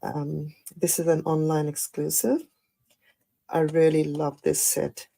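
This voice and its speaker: A woman with a croaky voice after the flu, chatting through a live card-making tutorial with many fillers and apologies. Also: deep voice, little pitch movement, slow, warm tone. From Australia. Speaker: craft demonstrator.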